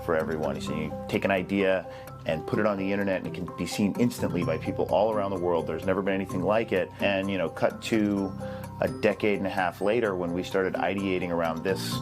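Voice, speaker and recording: A man giving a reflective interview as a project's co-creator, speaking in a thoughtful, halting way. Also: low-pitched, steady tone. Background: music.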